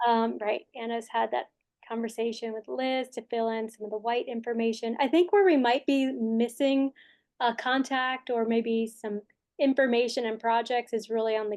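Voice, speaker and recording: A woman with a fairly high voice walks through project progress in a meeting, casual and loose, with plenty of fillers as she lists what her team has done. She talks over a video call. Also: steady tone, normal speed, vocal fry, darkish tone. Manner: casual, many fillers, listing items. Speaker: project consultant presenting progress. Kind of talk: meeting presentation.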